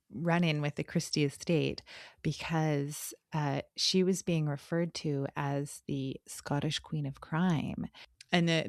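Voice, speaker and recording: A woman's voice: low voice, vocal fry, no echo, studio quality. A woman co-hosting a podcast, talking casually about books in a conversational, explanatory way. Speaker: podcast co-host. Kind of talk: casual book discussion.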